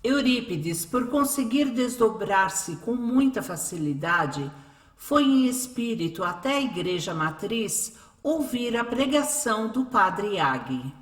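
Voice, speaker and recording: A woman's voice: fairly high pitch, very animated, slow pace. A woman narrating a scripted radio drama as a storyteller. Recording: echoey room.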